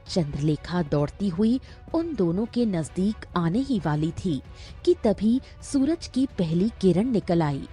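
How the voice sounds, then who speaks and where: A woman's voice: medium pitch, warm tone. A woman narrating a scripted fairy tale as a storyteller.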